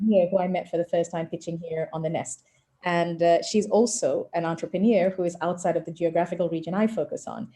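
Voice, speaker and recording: Woman from southern Africa, investor on a call; low, mellow voice; measured delivery. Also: steady tone. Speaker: angel investor on a pitch panel. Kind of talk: self-introduction on an online panel.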